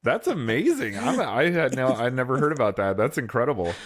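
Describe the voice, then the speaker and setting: A man co-hosting a podcast, talking casually and haltingly as he thinks out loud. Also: medium pitch, very animated, dry recording. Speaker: podcast co-host. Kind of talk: casual chat.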